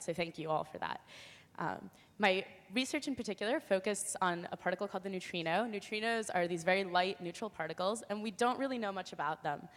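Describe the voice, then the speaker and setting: A woman's voice: lowish voice, a bit bright. Young woman speaking in a reverberant hall. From Argentina. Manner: earnest, explanatory, with fillers. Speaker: PhD student speaker. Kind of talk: prepared talk at an awards event.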